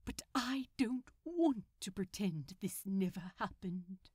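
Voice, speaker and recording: Casting: genteel male supervillain acted by a woman, bashful and fond, very polite, expressive pitch, storybook dialogue. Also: slow, husky, dry recording, studio quality. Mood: gentle, coaxing. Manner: prim, polite, formal diction. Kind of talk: fan fiction audiobook character voice.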